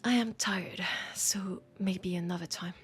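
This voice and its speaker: A woman with a French accent playing a weary, passionate bookshop owner in an intimate roleplay, softly and pensively teasing a vampire customer. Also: fairly low pitch, steady tone, natural voice. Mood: tired, wry. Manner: unhurried, pensive, teasing, with sighs and pauses. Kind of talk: intimate audio roleplay, scripted monologue addressed to listener.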